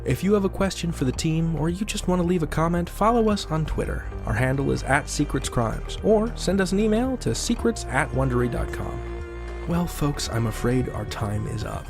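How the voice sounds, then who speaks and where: A man's voice: fairly high pitch, expressive, normal speed, vocal fry. Theatrical male host over music. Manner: theatrical, playful. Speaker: podcast host. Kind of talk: scripted announcer narration.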